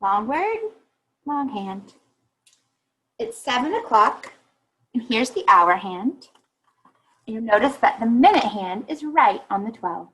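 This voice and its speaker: A woman reading a children's picture book aloud like a teacher, pausing to think aloud and explain. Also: fairly high pitch, big pitch swings, pushed and bright, breathy edge, rough recording.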